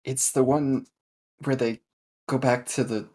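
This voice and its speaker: A husky, medium-pitched male voice in casual conversation around a game table. There is some environmental noise in the recording. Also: flat delivery, measured pace, darkish tone. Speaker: player in an actual-play tabletop podcast.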